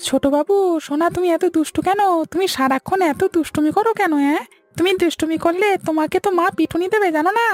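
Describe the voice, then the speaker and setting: An obedient young schoolboy from a cartoon, voiced by a woman, speaking in a very high pitch. He sounds sweet and earnest, a childlike big brother, over background music. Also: fast. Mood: gentle, coaxing. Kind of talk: Bengali cartoon voice acting.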